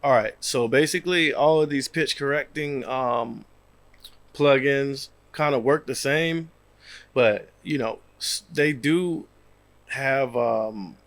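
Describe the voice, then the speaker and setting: A man in his thirties with a slightly raspy, worn voice, casually explaining software in a home studio over a headset mic, with lots of fillers. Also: medium pitch, slow, dry recording. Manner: relaxed, rambling, many fillers. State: losing his voice, a bit raspy. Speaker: music producer. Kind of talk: casual screen-share tutorial.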